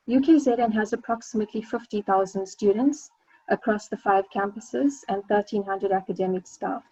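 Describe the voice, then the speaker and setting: South African woman presenting on a webinar, mellow, husky, measured, dull call sound. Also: medium pitch, no room sound. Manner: measured, with frequent ums and uhs. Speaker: senior lecturer, webinar panelist. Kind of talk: academic presentation. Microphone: video call.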